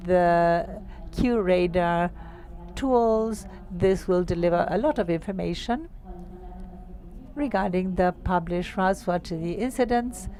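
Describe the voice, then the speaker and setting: Woman presenter, lowish voice, measured and explanatory, pausing between phrases. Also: dark tone. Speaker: conference presenter. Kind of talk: technical presentation to network operators.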